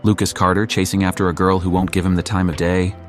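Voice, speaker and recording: A man playing a teasing high school buddy with a mocking, laid-back manner in a teen romance drama. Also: flat delivery, fast, faint music behind, lightly acted.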